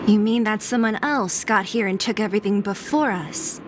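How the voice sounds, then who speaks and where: A woman's voice: mid-range voice, normal speed, noisy background, lightly acted. An actress playing a brash, streetwise young woman fighter in a video game, teasing and blunt. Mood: brisk, probing. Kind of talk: video game cutscene voice acting.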